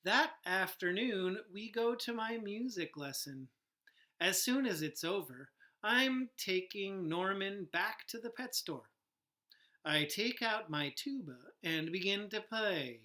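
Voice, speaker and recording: A woman reading a picture book aloud to young children as a teacher, animated and asking the listeners questions. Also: medium pitch, slow pace. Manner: animated, acts out sounds, asks listeners questions.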